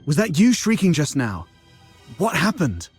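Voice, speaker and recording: An actor playing a worldly, streetwise schemer in a video game cutscene, with a wry, conversational way of talking. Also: male voice, on the high side, huge pitch swings, measured pace, a little mellow, slightly rough, faint music behind, full character voice. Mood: alarmed.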